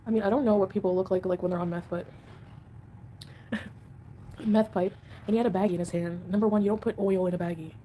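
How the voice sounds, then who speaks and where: A woman chats with her viewers on a home livestream, rambling from one point to the next and getting defensive about comments. Her voice is mellow and sits at an ordinary middle pitch. A faint hiss stays low under her talk. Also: steady tone, fast talker, pauses often. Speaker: livestream host. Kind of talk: casual livestream chat reading comments.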